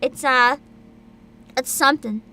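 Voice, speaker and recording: A woman streamer in casual livestream chat, excitable and giggly, rambling with frequent laughter. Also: high-pitched, unhurried. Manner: reads a plot description aloud with asides. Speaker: VTuber streamer.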